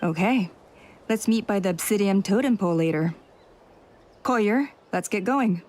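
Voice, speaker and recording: A woman playing a dutiful female clan elder in a video game, speaking in a measured, formal manner. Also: mid-range voice, a little mellow, lightly acted. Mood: earnest.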